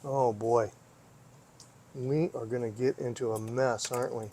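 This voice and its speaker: A man's voice: mid-range voice, fairly even intonation, unhurried, dark tone, a little hiss or hum. A man giving casual hands-on commentary as he tinkers, thinking aloud and often asking himself questions. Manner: musing, talks to himself, asks himself questions. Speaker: vlogger, hobby repair host.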